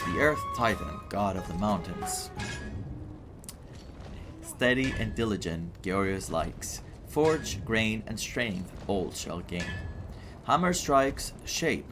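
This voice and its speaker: A man voicing a burly, earnest mountain blacksmith from a fantasy game, solemn and halting, with inverted word order. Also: on the low side. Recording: game music under the voice.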